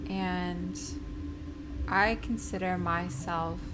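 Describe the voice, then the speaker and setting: Playful, slow-paced woman gaming streamer. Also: on the low side, steady tone, noisy background. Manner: joking, playful, mock-serious. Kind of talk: casual game playthrough commentary. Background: game music and sound.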